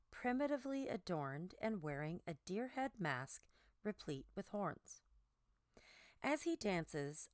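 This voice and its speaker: Expressive lower-pitched woman reading an audiobook. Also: dry recording, clean studio sound. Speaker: narrator reading a book aloud. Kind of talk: scripted audiobook narration.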